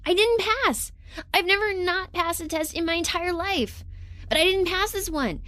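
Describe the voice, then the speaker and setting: A woman's voice: very high voice, normal speed, pushed and bright, no room sound, studio quality. A woman playing an earnest, easily indignant young intern in a science fiction audio drama, with informal, emphatic, youthful phrasing. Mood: upset, indignant. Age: young adult. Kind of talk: naturalistic audio drama acting. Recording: audio drama with clear background ambience.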